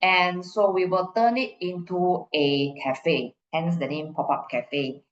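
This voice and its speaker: A woman's voice: on the low side, steady tone, slow pace. A Malaysian woman at the front of a conference hall, explaining her slides point by point, her voice bouncing off the walls and slightly dulled. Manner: repeats phrases for emphasis, checks in with "right?". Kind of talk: conference presentation, informal and explanatory. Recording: muffled, echoey room.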